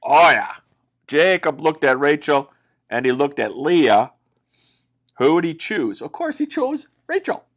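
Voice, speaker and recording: Animated man telling children's Bible stories. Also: on the high side, a little slow. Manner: dramatic, expressive delivery with exaggerated emphasis.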